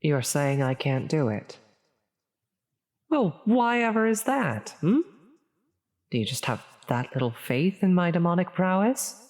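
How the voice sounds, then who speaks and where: A woman voicing a grandiose female demon in an ASMR audio roleplay, theatrical and formal, with halting delivery. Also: lowish voice, big pitch swings, darkish tone. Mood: offended, boastful. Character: menacing female demon, grandiose, increasingly flustered and concerned. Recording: long cavernous reverb.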